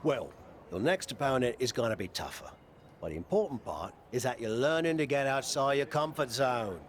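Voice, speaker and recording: Man playing a lively, roguish wrestling coach. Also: mid-range voice, normal speed, natural voice. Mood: breezy, casual. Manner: jovial, teasing, swaggering. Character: boisterous, roguish older male wrestling coach, cheerfully tipsy. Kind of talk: video game cutscene acting.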